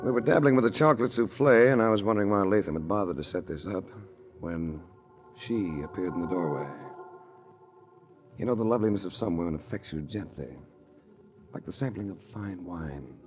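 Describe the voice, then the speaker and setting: An actor playing a tough, wisecracking private detective who narrates in a dry, hard-boiled 1940s radio style. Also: male voice, fairly low pitch. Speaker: narrator and lead character. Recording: hissy old radio broadcast.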